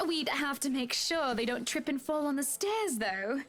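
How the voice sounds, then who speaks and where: A woman's voice: sits high, lively intonation, moderate pace, fairly bright tone. An actress playing a gracious, teasing wealthy lady of the manor in a visual novel game, with a gushing, hostess-like manner. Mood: gushing, hospitable.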